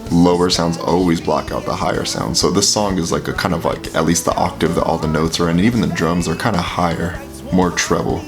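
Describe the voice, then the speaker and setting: A man with a very deep voice giving casual, filler-heavy commentary while reacting to a song. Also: a little fast. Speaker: reaction video host. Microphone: headphones with mic. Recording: music playing under the voice. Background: music playing.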